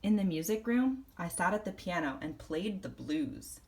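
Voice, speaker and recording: Animated woman reading a children's story. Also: fairly low pitch, background noise. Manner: animated, deliberate, acting out the story. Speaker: storybook reader. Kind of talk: expressive children's read-aloud, first-person story narration.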